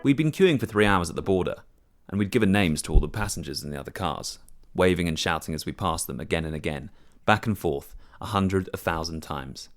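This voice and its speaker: A man narrates a short story in the first person, reading from a script. His voice is very low, with lively movement in pitch. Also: a little fast, faint music behind.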